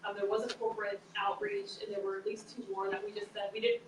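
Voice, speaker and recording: A woman's voice: mid-range voice, big pitch swings, fast talker. A woman organizing a community meeting, casually explaining past committees and plans. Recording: very echoey room, far mic, background noise.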